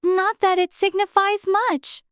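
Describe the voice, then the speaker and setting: A narrator voicing an outspoken, indignant little girl in a storybook, pert and quick to contradict. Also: very high-pitched, little pitch movement, fairly bright tone, dry recording, clean studio sound, voice pushed up. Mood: matter-of-fact.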